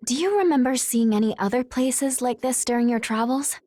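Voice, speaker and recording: A woman voicing a polite, gentle young heroine with a soft breathy voice, in a game dub. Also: a little high, fairly quick, no echo, natural voice. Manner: gentle, courteous. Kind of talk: video game voice acting.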